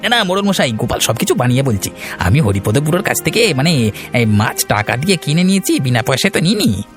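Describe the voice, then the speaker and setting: A man voicing a scheming village bully in a cartoon, flattering and wheedling. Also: medium pitch, quick pace, faint music behind, natural voice. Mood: defensive. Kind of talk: cartoon voice acting.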